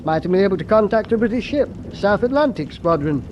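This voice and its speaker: A man's voice: high voice, lively intonation, fast, highs cut off, a little hiss or hum. A man playing an eager, cheeky British sailor held prisoner in a radio war drama, slangy and colloquial. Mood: frustrated.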